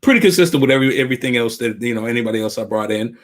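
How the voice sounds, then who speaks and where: A man's voice: medium pitch, animated, very fast. A man hosting a podcast interview, speaking in a friendly, loose way with street slang and calling his guest brother. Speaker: host.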